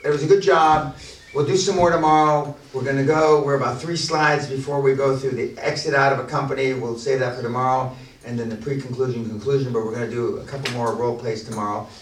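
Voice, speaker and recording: A man's voice: mid-range voice, steady tone, quick pace. A man running a business seminar in a blunt, boastful, profane way, often restarting his sentences. He is heard from a distance in a reverberant room, with faint hiss underneath. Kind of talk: unscripted seminar talk to a room. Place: large echoey room at a seminar venue. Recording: echoey room, distant mic.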